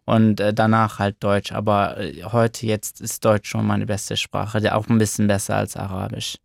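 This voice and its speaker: A young man who grew up in Germany, giving soft-spoken answers in a casual interview. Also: lowish voice, flat delivery, moderate pace, vocal fry, dry recording, studio quality.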